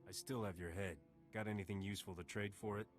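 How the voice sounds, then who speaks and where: An actor playing a cocky, flirtatious mercenary smuggler captain in a video game, with a wry, swaggering delivery. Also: male voice, fairly low pitch, fairly even intonation, fast, faint music behind, close to an everyday voice.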